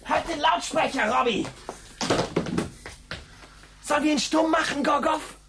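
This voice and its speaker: A woman's voice: sits high, unhurried, over music. An actress playing a cheeky, pushy gangster's henchwoman in a German radio drama, with a brash, mocking, colloquial delivery.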